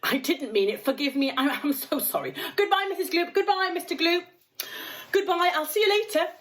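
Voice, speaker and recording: A woman's voice: very high voice, expressive, a little fast, pitched above the performer's own voice. A woman voicing an eccentric, mischievous male chocolate maker from a storybook, flippant and theatrically effusive. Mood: mock apologetic.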